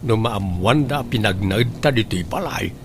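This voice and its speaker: A man's voice: on the low side, lively intonation, measured pace, a little hiss or hum. A man playing a cautious but accepting father of a grown son in a radio drama. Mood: worried, accepting.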